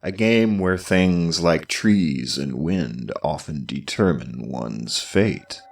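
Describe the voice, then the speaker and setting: A man presenting a scripted mythology tale like a history professor, deliberately, with dramatic pauses and dry, tongue-in-cheek humor. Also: extremely low pitch, lively intonation, recorded from a distance. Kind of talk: scripted storytelling narration of mythology.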